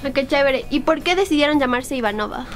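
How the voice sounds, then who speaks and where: A woman's voice: high-pitched, animated, fast. A woman reporter from Ecuador asking a band short, friendly questions in a music studio. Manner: bright, brief questions.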